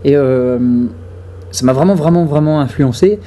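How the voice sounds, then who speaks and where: A man from France, a guest comedian, chatting casually in an animated way, with many hesitations and restarts. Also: on the high side, normal speed, a little hiss or hum. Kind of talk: casual chat. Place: festival backstage room.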